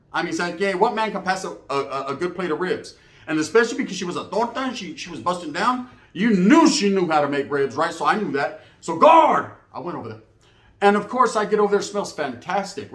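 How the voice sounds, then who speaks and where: A man's voice: high voice, fairly quick, roomy sound. An American man telling a story in a casual, slangy, comedic way, acting out both sides of conversations. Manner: animated raconteur, acts out both sides of conversations.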